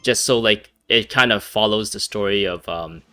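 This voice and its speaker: Guy rambling over a game playthrough, kinda low, punchy bright voice, lots of ums and likes. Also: moderate pace, clear, resonant tone, no echo, soft background music. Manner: rambling, hesitant, frequent fillers and restarts, laughs often. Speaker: gaming commentator. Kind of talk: casual gameplay commentary.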